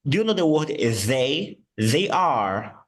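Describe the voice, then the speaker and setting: A man whose first language is Portuguese, teaching English and slowly repeating words to model their sounds. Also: mid-range voice, very animated. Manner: slow, patient, repeats words to model pronunciation. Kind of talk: online English lesson.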